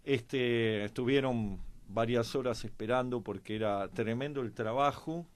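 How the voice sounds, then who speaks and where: A man with an Argentine accent giving conversational historical commentary as a panelist, reflective and halting, often pausing mid-thought. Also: mid-range voice. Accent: Argentine Spanish. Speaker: panelist on a history and politics programme.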